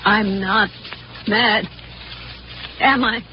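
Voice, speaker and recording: Wounded wife on noisy old radio. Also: female voice, mid-range voice, steady tone. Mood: anguished, accusing. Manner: deliberate, dramatic, broken phrases. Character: wounded, loving wife, fearful yet resolved. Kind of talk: 1940s radio suspense acting. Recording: muffled, very noisy 1940s radio recording.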